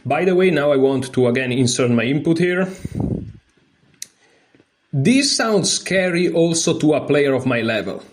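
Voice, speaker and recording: A man who is a non-native English speaker, giving a casual lesson, reading aloud and adding candid, informal asides as he thinks out loud. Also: fairly high pitch, expressive, unhurried, long pauses, a little hiss or hum. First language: not English. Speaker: chess teacher and streamer.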